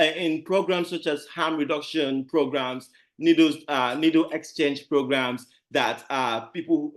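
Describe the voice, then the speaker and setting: A man talks as a panelist on an online call, in a fairly high voice for a man. He hesitates, reaches for words and corrects himself as he goes. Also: fairly bright tone. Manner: hesitant, many fillers and self-corrections. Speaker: webinar panelist. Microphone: video call.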